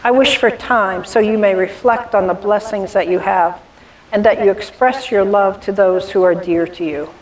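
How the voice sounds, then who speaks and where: A woman reciting a poem for a church holiday program in a measured, earnest reading manner. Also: mid-range voice, darkish tone. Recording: distant mic with hiss.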